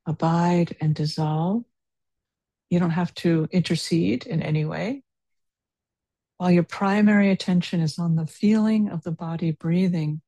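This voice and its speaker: A woman leading a guided meditation in a low, mellow voice with a husky edge. She speaks very slowly and softly, leaving long pauses. The sound is close and dry. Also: no room sound, low-quality recording. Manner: slow, soft-spoken and unhurried, with long pauses. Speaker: meditation teacher.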